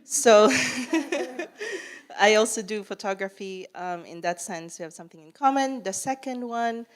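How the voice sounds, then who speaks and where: A woman's voice: on the high side, animated, measured pace. A woman from the Philippines with a Filipino accent, giving friendly spoken feedback as a jury member and laughing easily. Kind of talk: spoken feedback at a pitch event.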